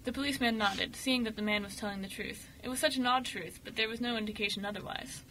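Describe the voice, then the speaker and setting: A woman narrating a scripted radio story dramatically, with expressive, emphatic delivery. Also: on the high side, fast talker, rough recording. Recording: faint noise, amateur radio show recording.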